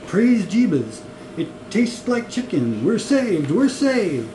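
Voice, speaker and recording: A man acts out a melodramatic wife and mother in a comic story, hysterical and gleeful. The voice is pitched up and exclaiming, with room echo and noise. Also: sing-song, slow, full character voice. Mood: hysterical joy. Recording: echoey room with clear noise.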